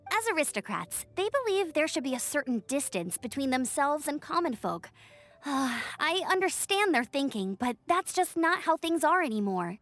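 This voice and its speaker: A woman's voice: high voice, very animated, moderate pace, heavily acted. A woman playing a perky, upbeat young scout in a video game, friendly and encouraging. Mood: friendly, explaining. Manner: bright-spirited, encouraging, friendly. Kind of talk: scripted video game character acting.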